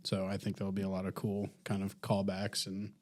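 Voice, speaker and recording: A man's voice: sits low, completely flat delivery, a little fast, darkish tone. A man co-hosting a podcast, talking casually and conversationally. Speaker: podcast co-host. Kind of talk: casual chat.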